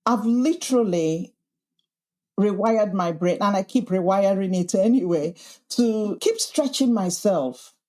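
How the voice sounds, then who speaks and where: A woman's voice: mid-range voice, expressive, measured pace, a little mellow. Woman, Yorkshire accent, podcast host as guest, thoughtful and emphatic. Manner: earnest, thoughtful, with frequent restarts and emphatic lists. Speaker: podcast host being interviewed. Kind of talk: reflective conversational interview.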